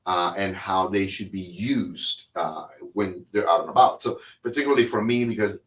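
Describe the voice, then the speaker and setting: A man's voice: low voice, normal speed, a bit bright. A middle-aged man from Cuba whose first language is Spanish, casually hosting a cigar talk and rambling with lots of fillers and restarts while he smokes. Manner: smoking a cigar while talking. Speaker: cigar podcast host. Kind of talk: casual monologue.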